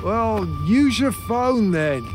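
A woman's voice: fairly high pitch, expressive, slow. A woman playing a bossy, irritable tourist in an audio drama. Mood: irritated, uneasy. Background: music.

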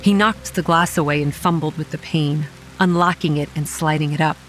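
An actress playing a young campground manager who narrates a horror audio drama in a wry, sardonic, foul-mouthed way. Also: female voice, sits low, fairly even intonation, moderate pace, natural voice, poor audio. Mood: shaken, dread. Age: young adult. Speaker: narrator and lead character. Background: rain and music.

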